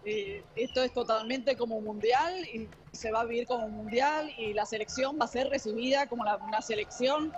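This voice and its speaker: A woman's voice: a little high, steady tone, brisk, few pauses, lo-fi sound. A woman from Italy, raised elsewhere, speaking as a guest in a live TV news interview, hesitant and full of fillers and restarts.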